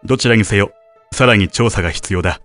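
A man acting a grave, devoted father and researcher narrating his diary in a measured, solemn way for an audio drama. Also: lowish voice, a bit bright, faint music behind, natural voice. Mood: grave, uneasy.

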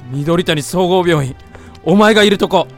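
An actor playing an insecure, earnest young college student in love, with hesitant, emotional boyish speech in a Japanese voice drama. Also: male voice, high-pitched, normal speed, soft background music, natural voice. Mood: desperate. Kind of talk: Japanese audio drama acting.

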